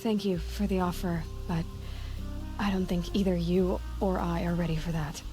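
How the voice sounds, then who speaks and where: A self-possessed young huntress played by a woman from a video game cutscene, her voice slightly low. She talks plainly and directly with dry understatement. Soft music sits behind her. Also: fairly even intonation, moderate pace, lightly acted. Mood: guarded, direct. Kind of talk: dramatic cutscene dialogue.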